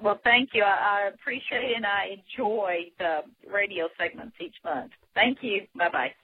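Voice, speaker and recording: A woman's voice: mid-range voice, slightly rough. Older woman caller on a phone line. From Texas. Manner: deliberate, step-by-step telling with soft laughs. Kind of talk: phone-in message.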